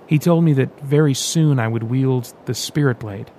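A thoughtful male rebel leader from an audiobook, speaking softly and carefully, as the male reader gives him his own natural voice. He sounds measured and quietly burdened, at an ordinary middle pitch. A faint rumble runs behind the reading. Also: natural voice. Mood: reflective. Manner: soft, reflective.

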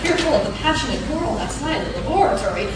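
A woman's voice: sits high, big pitch swings, fast talker, background noise. A woman playing a flustered, defensive lady novelist in a comedic radio play, exclaiming dramatically. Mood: defensive, gushing. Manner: exclamatory, dramatic, stammering when cornered. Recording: echoey live hall, distant, loud crowd.

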